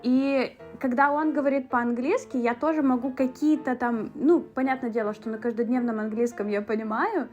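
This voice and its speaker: A woman's voice: a little high, steady tone, darkish tone, muffled recording, faint music behind. Chatty Russian woman vlogging. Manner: chatty, many fillers, quick asides. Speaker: beauty vlogger. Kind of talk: casual vlog talk.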